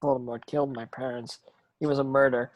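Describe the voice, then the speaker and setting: A man's voice: medium pitch, flat delivery, fairly quick, dull audio, lightly acted. A man playing an earnest, modest schoolboy wizard, plain-spoken, in a script table read.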